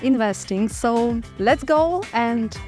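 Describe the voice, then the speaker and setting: A woman's voice: on the high side, lively intonation, takes it slowly, loud music behind the voice. A woman from Ukraine hosting an interview channel, speaking in a hesitant way with fillers. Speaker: interview host. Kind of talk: casual interview.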